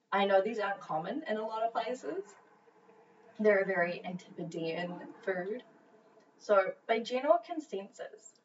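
A woman's voice: medium pitch, distant mic. A young woman with a New Zealand accent chats cheerfully on her podcast, laughing easily and swearing casually. She sits a little back from the mic in a home office. The recording is dull, with a faint hiss behind her. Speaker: podcast co-host. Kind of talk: casual chat. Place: home office near a road.

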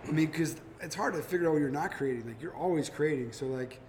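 A man's voice: a little high, slight background noise. A man hosting a casual podcast, talking animatedly and acting out quotes while telling stories. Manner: fast and animated, acts out quotes from his own stories. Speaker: podcast host. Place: brewery taproom.

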